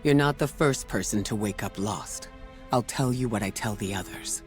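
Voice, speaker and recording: An actor playing a calm, seasoned mentor in a video game cutscene, speaking in a measured, grave way. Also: male voice, on the low side, faint music behind, lightly acted. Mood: grave, consoling.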